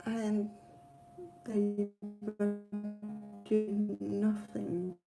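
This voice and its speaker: A woman with a Danish accent, a native Danish speaker, telling a personal travel story casually in a hesitant, rambling way with frequent pauses. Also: medium pitch, monotone, creaky, loud music behind the voice. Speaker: travel vlogger.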